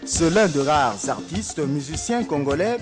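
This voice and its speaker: A man's voice: a little high, lively intonation, a little slow. A man reading a solemn scripted news tribute as a voice-over. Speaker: news narrator. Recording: music bed behind voice-over.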